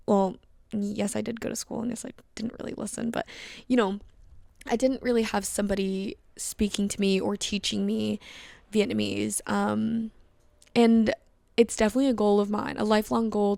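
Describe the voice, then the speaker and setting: A young woman talks candidly into a close mic, reflective and unhurried, circling back with restarts and fillers. Her mid-pitched voice carries a lot of vocal fry. The sound is dry and close, with no room around it. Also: a little mellow, dry recording. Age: young adult. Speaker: podcast host. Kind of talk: casual solo podcast monologue.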